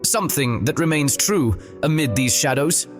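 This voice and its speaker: A man's voice: a little high, expressive, normal speed, over music, natural voice. A man playing an earnest, pleading, lovelorn young man in an audio drama, with a formal, yearning delivery.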